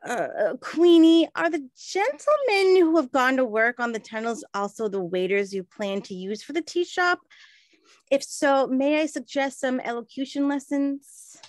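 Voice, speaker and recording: A woman's voice: high-pitched, lively intonation, a little slow, dry recording. An actress playing a refined, helpful lady of the 1920s, speaking politely and precisely in a period comedy. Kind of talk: period comedy radio-play acting.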